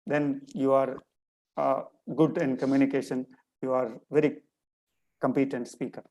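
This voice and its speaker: A man's voice: mid-range voice, flat delivery, moderate pace, dark tone, rough recording. A man from India with a Tamil English accent and a slight Malayalam influence, giving an earnest webinar talk over a video call and often repeating his key phrases. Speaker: guest lecturer. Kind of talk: webinar talk answering a question.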